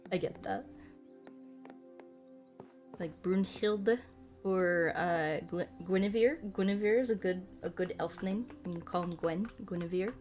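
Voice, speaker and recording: A woman in her late twenties chatting informally as a livestream host, rambling and animated, with loose, sweary language. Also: on the low side, takes it slowly, long pauses, faint music behind. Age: late 20s. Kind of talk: casual chat while playing a game, reading and answering chat.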